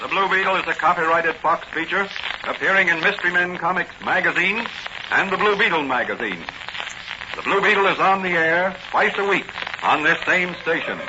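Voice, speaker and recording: A man's voice: sits high, fairly quick. A man narrating as a formal, dramatic 1940s radio announcer. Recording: scratchy, muffled 1940s radio recording.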